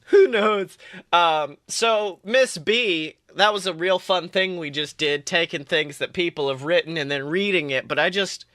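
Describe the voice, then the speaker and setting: A male podcast host chatting in an offhand, joking manner. His voice sits a bit high for a man and sounds very bright and forward. The recording is close and completely dry. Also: measured pace, no echo. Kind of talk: casual comedic chat.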